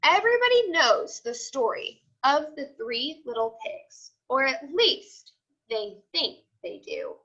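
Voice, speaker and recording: Woman reading as chatty, bright-voiced wolf, Al. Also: high voice, very animated, slow, muffled recording, exaggerated voice. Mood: defensive, confiding. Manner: chatty, defensive. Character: self-excusing male wolf storyteller, casual and wily. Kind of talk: picture book read-aloud.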